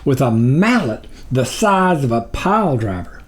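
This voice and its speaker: A man's voice: medium pitch, big pitch swings, measured pace. A man reading his own book aloud as a dramatic audiobook narrator.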